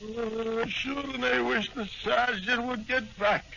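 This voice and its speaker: Whiny sleepy cop, high n creaky, slow, dull old radio sound. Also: male voice, steady tone, pushed and bright, full character voice. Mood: sleepy, plaintive. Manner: plaintive, drawn-out. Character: whiny, sleepy, timid male police officer. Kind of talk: 1940s radio comic acting. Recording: band-limited old radio recording.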